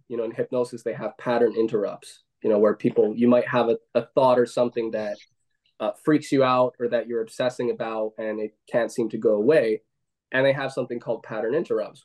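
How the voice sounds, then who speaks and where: A man's voice: mid-range voice, a little mellow. A man hosting a casual discussion, conversational, often tagging "right?" and "I mean".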